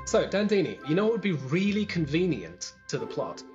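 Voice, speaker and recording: A man's voice: a little high, very animated, a little fast, darkish tone, soft background music. A young man playing a breezy, cocky, chatty valet in a pantomime. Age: young adult. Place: living room at home. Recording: dull video-call audio.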